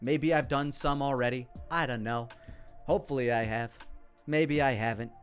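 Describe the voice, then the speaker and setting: A man's voice: mid-range voice, moderate pace, clear, resonant tone, soft background music. A man giving casual commentary on his own work, rambling, candid and sweary. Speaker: creator commenting on own parody series.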